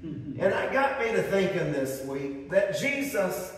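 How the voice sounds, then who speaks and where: A middle-aged pastor from the northern United States delivers a fervent sermon in a fairly high voice, building emphasis and slipping into stories. He sounds distant from the mic, and his words ring through a long, echoing church hall. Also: very animated, takes it slowly, a little hiss or hum. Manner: fervent, rising emphasis with storytelling asides. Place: church sanctuary, indoors. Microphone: far camera, speaking at a lectern. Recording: echoey hall recorded from far off.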